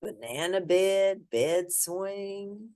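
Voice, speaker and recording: A woman reading a children's picture book aloud in an expressive, emphatic way, with asides to young listeners. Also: medium pitch, drawn-out words. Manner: animated, emphatic storytelling. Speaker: children's book reader and host.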